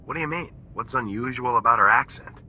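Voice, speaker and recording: An actor playing a determined, no-nonsense military lieutenant in a video game, direct and businesslike. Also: male voice, medium pitch, a little fast, sharp tone, slight background noise. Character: determined, no-nonsense male soldier, a squad-leading lieutenant. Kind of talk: video game character acting. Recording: game dialogue over music.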